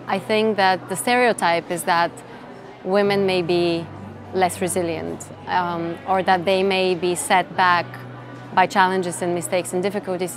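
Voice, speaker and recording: A woman in her thirties from Bulgaria giving measured, reflective interview answers with some fillers and restarts. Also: on the low side. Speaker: interviewee, tech executive. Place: event interview set, indoors. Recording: music bed behind voice.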